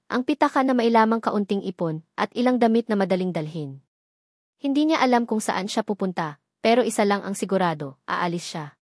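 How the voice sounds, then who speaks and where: A woman narrating a dramatic love story with an even, dramatic storytelling delivery. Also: mid-range voice, no room sound, studio quality.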